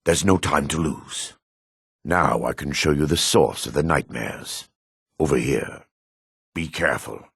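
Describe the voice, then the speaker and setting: An actor playing a solemn, world-weary dark elf man in a fantasy video game, with a raspy, measured, theatrical delivery. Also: very deep voice, no room sound, clean studio sound, exaggerated voice. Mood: solemn, resolute.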